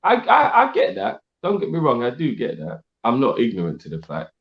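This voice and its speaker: A man's voice: medium pitch, huge pitch swings, fairly quick, vocal fry. A man co-hosting a casual fan podcast, emphatic and defensive, repeating himself to make his point. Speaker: co-host. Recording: dull lo-fi stream audio.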